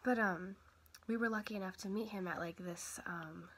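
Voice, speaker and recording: A woman's voice: mid-range voice, moderate pace, slight background noise. A young woman vlogger, a little sick and stuffy, chatting casually and rambling with lots of fillers. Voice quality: quiet voice. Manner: rambling, many fillers and self-corrections. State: stuffy nose from a cold. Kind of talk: casual chat.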